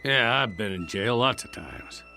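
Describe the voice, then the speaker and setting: An actor playing a weary, bewildered and defiant Old West outlaw in a radio drama, with terse rustic talk. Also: male voice, fairly low pitch, expressive, measured pace, pushed and bright, heavily acted. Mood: weary, dazed. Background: background music.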